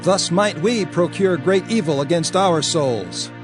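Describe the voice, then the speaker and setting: A man's voice: on the high side, animated, a little slow, music in the background. A man playing a grave elder addressing an assembly in a declamatory, dramatized scripture reading. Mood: grave, cautioning. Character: grave male elder recalling past prophecies.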